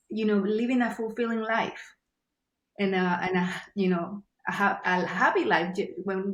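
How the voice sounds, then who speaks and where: A woman's voice: medium pitch, echoey room. A woman from Venezuela with a Venezuelan Spanish accent, a native Spanish speaker, talking earnestly as a podcast guest and often searching for her words. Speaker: guest, journalist. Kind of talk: casual podcast interview.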